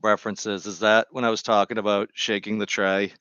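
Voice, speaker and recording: A man's voice: lowish voice, little pitch movement, a little fast, bright, forceful tone, smooth, no echo, highs cut off. A man with a New England accent chatting casually and rambling off on tangents while he gardens. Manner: chatty, digressive, self-deprecating. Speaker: gardening streamer.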